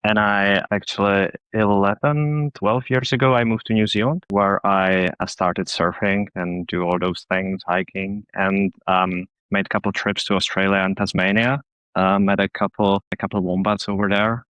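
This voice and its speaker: A man's voice: fairly low pitch, steady tone, moderate pace, smooth, no echo, dull audio. A man in his thirties from the Czech Republic with a Czech accent, a podcast guest talking casually with many restarts and fillers. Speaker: podcast guest, newsletter publisher. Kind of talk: casual interview chat.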